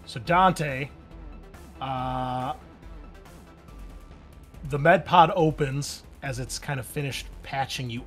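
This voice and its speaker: A man's voice: mid-range voice, fairly bright tone. A man acting as game master of a tabletop roleplaying game, narrating scenes and explaining the rules conversationally.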